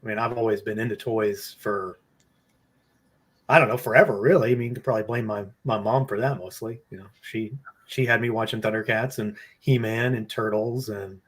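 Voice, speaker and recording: A man in his late thirties chatting casually as a guest, relaxed and rambling with lots of fillers. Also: lowish voice, moderate pace, breathy edge. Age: 30s. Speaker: guest toy collector. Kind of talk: casual chat.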